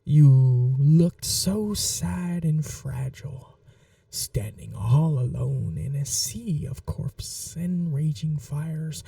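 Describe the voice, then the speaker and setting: A woman playing an aristocratic, possessive vampire mistress in a teasing, quietly menacing, breathy, intimate ASMR roleplay, often dropping to a whisper. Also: low voice, no echo, far from the mic, heavily acted. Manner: slow, languid, condescendingly affectionate. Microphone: close microphone, dry.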